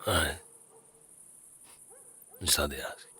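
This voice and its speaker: A man playing a gruff, troubled fantasy leader in an audio drama, blunt, informal and halting, with a gravelly voice. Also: very deep voice, sharp tone, heavily acted. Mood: hesitant, probing. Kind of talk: naturalistic dark fantasy audio drama acting.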